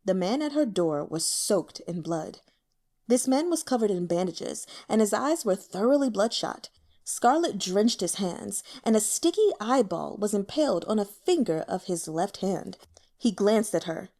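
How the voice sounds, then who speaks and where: A woman's voice: mid-range voice, expressive, unhurried, dark tone. A woman reading fiction aloud as an audiobook narrator, in a dramatic storytelling style.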